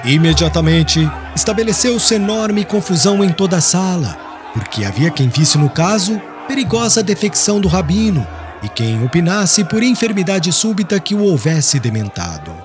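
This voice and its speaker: Expressive higher-voiced male Brazilian narrator. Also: moderate pace. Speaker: audiobook narrator. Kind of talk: expressive scripted literary narration. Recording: music bed under the voice.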